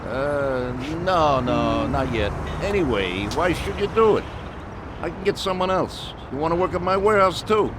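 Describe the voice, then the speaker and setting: An actor playing an older, genial mob boss who praises his men in a slow, fatherly way. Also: a little high, lively intonation, natural voice. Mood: pleased. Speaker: crime boss. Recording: game audio with street noise.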